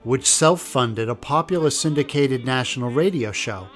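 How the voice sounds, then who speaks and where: A man delivers scripted historical narration in a mid-pitched voice. Faint music plays behind him as he sets out the history. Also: moderate pace. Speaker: documentary narrator.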